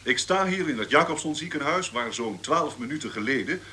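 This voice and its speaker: An actor playing a formal on-the-scene news reporter in a radio drama. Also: male voice, on the high side, lively intonation, fairly quick, sharp tone, slight background noise. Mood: formal, urgent.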